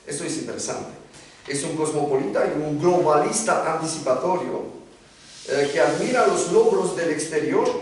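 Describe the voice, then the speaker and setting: Guy on a panel, slow and halting, lots of ums, far off in a boomy room. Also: very echoey. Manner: halting, many filler hesitations. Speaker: panelist, literary scholar. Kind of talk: round-table talk. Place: lecture room.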